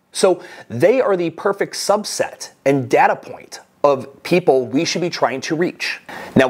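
A middle-aged man giving a recorded slide lesson as a course instructor, coaching in a plain, direct way through lists of tips. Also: on the high side. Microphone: webcam inset over slides.

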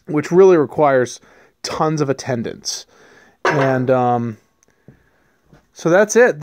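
A man in his thirties hosting a casual homesteading vlog, talking in a relaxed, rambling way with many ums and restarts, recorded on a handheld camera outdoors and in a workshop. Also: fairly high pitch, no room sound. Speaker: homesteading channel host. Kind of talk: casual hands-on vlog narration. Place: outdoors beside a pickup truck in snow, later a workshop.

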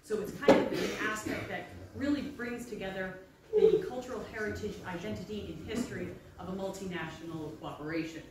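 A woman's voice: mid-range voice, fairly quick, background noise. A woman in her thirties, a maritime archaeologist, giving a friendly, chatty public talk into a handheld microphone in a library. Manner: friendly, chatty presenter addressing the audience directly. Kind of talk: public lecture with slides. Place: library room with bookshelves, indoors. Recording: very echoey room, voice from a distance.

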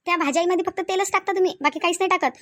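A woman's voice: very high voice, rapid-fire. A woman voicing a domineering, miserly rural mother-in-law in a cartoon comedy, nagging and sarcastic in a Varhadi Marathi accent. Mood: scolding. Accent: Varhadi Marathi from Vidarbha. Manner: nagging, sarcastic, full of rhetorical questions.

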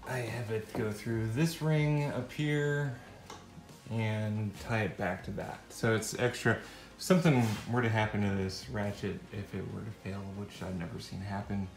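A middle-aged man giving casual, halting, unscripted how-to narration while thinking aloud. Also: lowish voice, steady tone, vocal fry. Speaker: vlogger demonstrating a DIY setup. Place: indoors in a cluttered home garage. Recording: echoey garage, faint music.

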